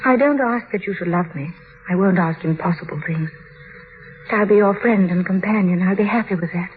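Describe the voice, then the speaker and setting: A woman's voice: mid-range voice, fairly quick, a little hiss or hum, natural voice. Woman playing an anguished young wife, muffled old radio. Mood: tender pleading. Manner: earnest, breathless pleading, emotional. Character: timid, anguished young wife, pleading and devoted. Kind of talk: 1940s radio drama acting. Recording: muffled vintage radio broadcast with music.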